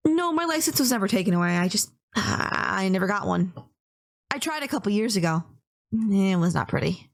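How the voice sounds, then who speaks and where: A woman's voice: medium pitch, very animated, fairly quick. Woman acting a sweet, polite, lovestruck yandere girlfriend. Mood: sheepish. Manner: polite, bashful, earnest, rambling with small stammers. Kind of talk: first-person romantic audio roleplay, speaking to listener.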